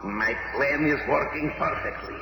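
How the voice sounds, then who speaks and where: An actor playing a gloating, megalomaniac villain scientist in a theatrical 1970s radio drama style. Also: male voice, fairly high pitch, normal speed, creaky, over music. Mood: scheming, smug. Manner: theatrical, declamatory. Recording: reverberant, noisy old radio recording.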